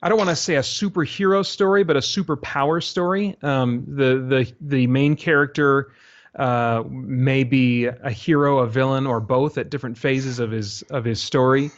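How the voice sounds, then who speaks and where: A North American man talking casually as an interview guest, haltingly thinking aloud with false starts. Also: mid-range voice, steady tone. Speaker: interview guest, radio drama creator. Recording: dull, lo-fi audio.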